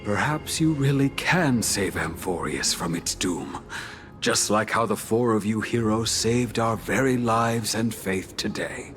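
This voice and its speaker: An actor playing a courteous, humble older musician in exile, speaking formally and reflectively in a video game. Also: male voice, lowish voice, expressive, measured pace, fairly bright tone. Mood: grateful, reflective. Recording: faint music behind the voice. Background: faint music.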